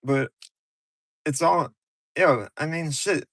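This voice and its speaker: A man's voice: a little high, takes it slowly, a bit bright, dry recording, clean studio sound. A man from Ukraine hosting a casual, rambling livestream from his car on his phone, talking loose and slangy straight to his viewers. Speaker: livestream host.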